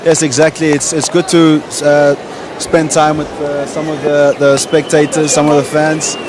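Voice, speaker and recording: Man, player guest, hesitant casual answers, fillers, slightly high pitch, noisy outdoor broadcast. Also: completely flat delivery. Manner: halting, filler-heavy answers. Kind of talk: casual interview.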